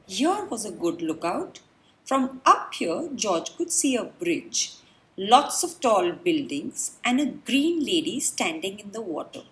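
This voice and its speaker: A woman reading a children's storybook aloud, expressive and dramatic, with deliberate pauses. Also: mid-range voice, low-quality recording. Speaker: children's book reader. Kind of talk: read-aloud storybook narration.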